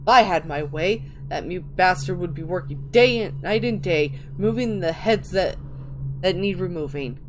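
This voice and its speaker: A woman's voice: on the low side, expressive, normal speed. Woman reading dramatically over noisy audio. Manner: theatrical, stumbles over words and restarts. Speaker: gamer reading game texts aloud. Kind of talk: dramatic reading of in-game books.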